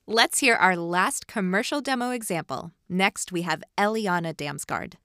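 A woman with a lowish voice hosts an advice podcast. She explains things directly and encouragingly, in a dry recording with little room sound. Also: moderate pace, pushed and bright, no room sound. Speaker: podcast host.

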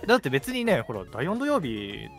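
A man's voice: on the high side, wildly swooping pitch, moderate pace, creaky. A young man co-hosting a live radio talk show with casual banter and teasing, dry, self-deprecating asides. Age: young adult. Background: background music.

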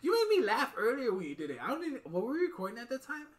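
A woman with a lisp, a comedian chatting casually on a podcast, animated, laughing and swearing often. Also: a little high, rapid-fire, distant mic.

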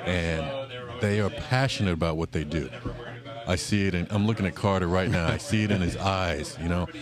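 A man's voice: low-pitched, brisk, over music. A man co-hosting a casual chat at an event. He talks with enthusiasm and often repeats words as he starts a sentence. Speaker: co-host. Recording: crowded room chatter.

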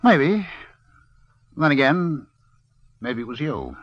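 A man's voice: a little high, sing-song, slow pace, a bit bright, no echo, exaggerated voice. An actor playing a ruthless, sarcastic crime boss in an old radio drama, with clipped, commanding tough-guy delivery. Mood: suspicious. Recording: muffled, noisy old radio recording.

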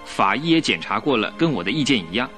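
A man's voice: mid-range voice, very animated, fast, bright, forceful tone, faint music behind. An actor playing a cocky, insistent police inspector in a radio drama, emphatic and pressing as he questions. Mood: self-assured. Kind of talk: Chinese radio drama acting.

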